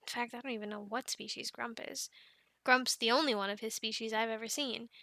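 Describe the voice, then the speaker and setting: High, bright young Viking woman, acted by a woman. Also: fairly even intonation, a little fast, vocal fry, dry recording. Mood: chatty, explaining. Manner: chatty, affectionate coaxing and explaining. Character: cheerful young female Viking dragon keeper, tender with her animals. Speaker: amateur audio roleplay performer. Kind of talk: ASMR roleplay acting, speaking to the listener.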